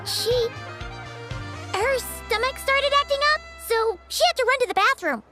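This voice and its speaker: A woman voicing a tiny childlike fairy companion in a video game, squeaky, chirpy and cheeky. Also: unhurried. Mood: chirpy, hesitant. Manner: chirpy, cute. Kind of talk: cartoonish video game voice acting. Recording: loud background music.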